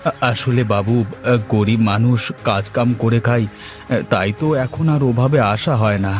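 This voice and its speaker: A man's voice: medium pitch, a little slow, soft background music, lightly acted. A man playing a humble, indebted villager in a cartoon moral story, speaking with hesitant, deferential pleading. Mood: meek, hesitant. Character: humble poor villager in debt, pleading meekly.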